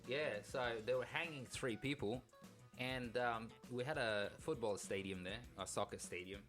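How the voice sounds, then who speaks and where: A man in his thirties from Afghanistan, a podcast co-host telling his life story in a halting, nervous way with many restarts. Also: medium pitch, a bit bright, soft background music. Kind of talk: casual storytelling chat.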